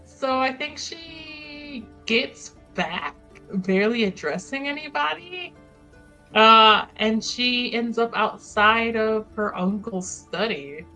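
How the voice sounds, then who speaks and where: Bright-voiced, slow-paced woman playing a tabletop game. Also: fairly high pitch, animated, soft background music, poor audio. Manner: informal, rambling, sweary. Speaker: player in a tabletop roleplaying game. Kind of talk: casual improvised character narration.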